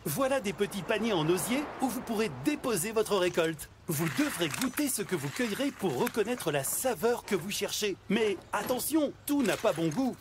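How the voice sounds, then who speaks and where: A woman voicing a cheerful, encouraging guide to little trucks in a children's cartoon, with a sing-song, animated manner. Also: medium pitch, moderate pace, hardly any pauses, lightly acted. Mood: helpful. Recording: prominent music behind dialogue.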